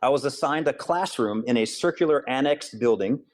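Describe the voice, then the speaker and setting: Guy from hawaii, author and counselor, calm earnest interview answers. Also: mid-range voice, fairly quick. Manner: earnest, steady explaining.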